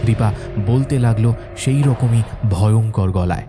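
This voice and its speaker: A man's voice: lowish voice, a little slow. A man narrating a scripted horror story in a storyteller's delivery. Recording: music under the voice.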